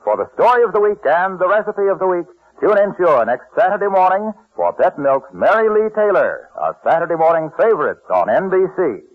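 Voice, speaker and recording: A man's voice: sits high, very animated, brisk, hardly any pauses. An American man announcing in polished, scripted mid-century radio sponsor style. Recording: dull vintage radio recording.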